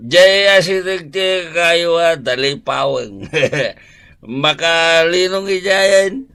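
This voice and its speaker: A man with an Ilocano accent playing a stubborn, cheerful, slow-witted drunkard husband in a radio comedy drama, drawling and chuckling. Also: fairly high pitch, animated, creaky, sharp tone, clean tone, full character voice. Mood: tipsy, playful.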